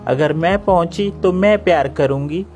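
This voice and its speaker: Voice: medium pitch, moderate pace, a little mellow, soft background music, natural voice. Narrator voicing a touchy little female sparrow. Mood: sulking. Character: touchy young female sparrow, quick to sulk.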